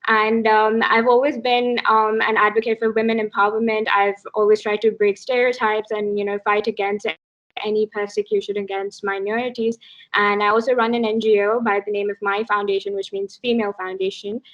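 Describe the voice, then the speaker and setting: A young woman from Pakistan introducing herself as a student candidate, halting and full of fillers. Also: medium pitch, flat delivery, a bit bright, lo-fi sound. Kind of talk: casual self-introduction.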